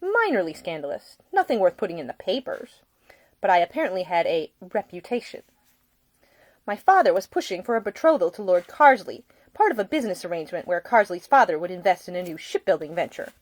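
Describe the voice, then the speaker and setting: A woman's voice: on the low side, sing-song, brisk, no room sound. A woman voicing an outspoken, slightly wounded young Victorian lady in a storybook reading. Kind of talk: acted audiobook dialogue.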